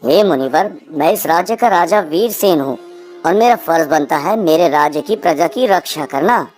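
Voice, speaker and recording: A woman's voice: low-pitched, lively intonation, moderate pace, a little mellow, soft background music. A woman voicing a remorseful, humble king in a cartoon, pleading and dramatic. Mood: humble, earnest. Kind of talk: cartoon character acting.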